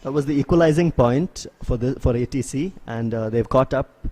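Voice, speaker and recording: A man giving live play-by-play sports commentary in a measured, analytical way. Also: medium pitch, dark tone. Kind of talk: live play-by-play commentary of an ultimate frisbee match.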